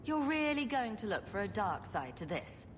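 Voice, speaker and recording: An actress playing a wry, assertive young elder sister, heroine of a fantasy video game. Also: female voice, medium pitch, sing-song, moderate pace, noisy background, lightly acted. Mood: reproachful. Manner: wry, dry. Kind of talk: dramatic video game acting. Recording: long reverb, music behind.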